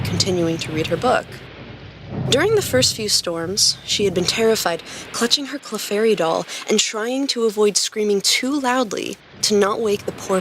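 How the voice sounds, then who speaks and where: A woman hosting a podcast and narrating stories in a clear scripted reading style. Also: fairly low pitch, noisy background. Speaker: podcast host and story reader.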